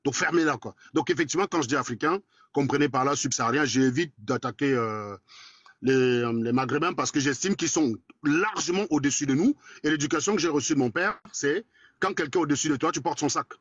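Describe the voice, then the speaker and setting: A quick-talking Cameroonian man in his forties. His voice is husky and a little raspy, and he talks bluntly, with crude words. The audio is dry and dull, like a lo-fi live stream. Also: on the high side, fairly bright tone, no room sound. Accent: Cameroonian French. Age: 40s. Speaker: guest in a live debate. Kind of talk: casual live chat.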